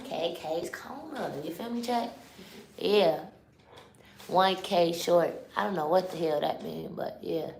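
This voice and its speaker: A woman's voice: fairly low pitch, slow, gravelly, darkish tone, reverberant, a little hiss or hum. A young woman from Chicago in her twenties, hosting a live stream in loose, slangy casual chat that keeps turning to the chat. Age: 20s. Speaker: livestream host.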